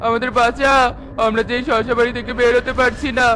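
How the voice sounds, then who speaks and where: A man voicing a greedy, sly male crow in a children's cartoon fairy tale, wheedling and excitable. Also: extremely high pitch, quick pace. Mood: panicked.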